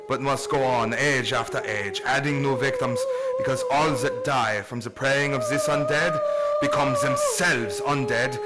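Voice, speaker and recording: A man's voice: mid-range voice, natural voice. A man playing a grave, learned professor who hunts vampires in a radio play, speaking in solemn, formal oratory. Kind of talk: old-time radio play acting.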